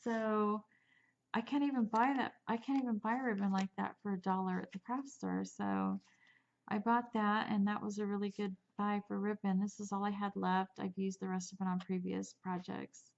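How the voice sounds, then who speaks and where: A woman's voice: medium pitch, fairly even intonation, normal speed, gravelly, dull audio. A woman casually showing off a handmade craft album, chatty and rambling, a little sniffly from allergies. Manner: chatty, rambling, with small laughs and restarts. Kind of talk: casual craft show-and-tell.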